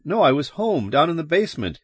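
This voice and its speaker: A man's voice: mid-range voice, unhurried, no room sound, clean studio sound, voice pushed up. A male narrator voicing an agitated, bewildered ordinary man in a dramatic story reading. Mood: agitated, urgent. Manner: urgent, pleading, excitable.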